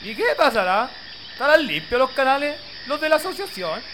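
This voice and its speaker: A man's voice: very high voice, expressive, a little fast. A man playing a worried, neighborly peasant farmer with a rural Chilean accent and colloquial country talk in a radio play. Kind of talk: amateur radio play dialogue. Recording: light outdoor noise with birds and insects.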